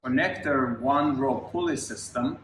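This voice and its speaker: A man's voice: medium pitch, unhurried, a bit bright. Man with a Turkish accent in an echoey room. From Turkey. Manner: earnest, explains step by step with small grammar slips. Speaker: Pilates educator and inventor. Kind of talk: casual interview. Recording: echoey room with faint noise.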